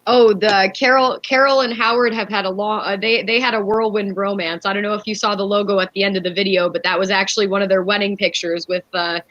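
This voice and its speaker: A woman's voice: medium pitch, steady tone, fast talker, few pauses, a bit bright, dull audio. A woman guest performer chatting casually in a comic interview, playful and tongue-in-cheek.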